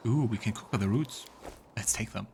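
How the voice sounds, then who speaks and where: A man's voice: fairly low pitch, normal speed, creaky, darkish tone, slight background noise. A man giving casual let's play commentary and narrating scenes from a fantasy game. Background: game music.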